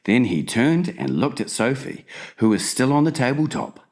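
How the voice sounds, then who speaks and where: A man's voice: mid-range voice, big pitch swings, normal speed, darkish tone. A man narrating a children's storybook as a dramatized, scripted audiobook reading.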